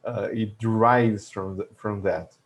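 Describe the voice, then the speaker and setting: A man whose first language is Portuguese, speaking English with a Brazilian Portuguese accent, explaining a logistics topic in a video lesson with many pauses and filler sounds. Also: fairly low pitch, fairly even intonation. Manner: hesitant, many filler sounds and restarts, chuckles to himself. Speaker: logistics educator.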